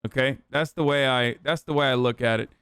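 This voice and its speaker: A man's voice: mid-range voice, brisk, fairly bright tone, clear, resonant tone, no room sound, clean studio sound. A young man from Turkey doing casual livestream commentary, ranting loosely and swearing for emphasis. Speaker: streamer reacting to a video.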